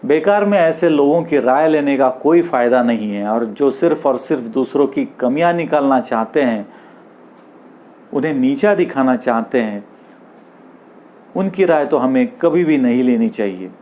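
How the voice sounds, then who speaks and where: A man voicing a wise, reassuring friend in a moral story, giving measured, instructive advice. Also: mid-range voice, expressive, darkish tone, natural voice. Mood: calm, advising. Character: wise, reassuring male friend advising a dejected painter.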